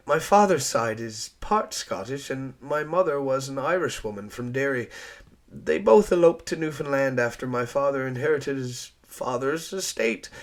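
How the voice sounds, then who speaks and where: A man's voice: fairly high pitch, voice pushed up. A man voicing a flustered young schoolteacher lady. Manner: hesitant, stammering, formal. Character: flustered young female schoolteacher, polite and proper.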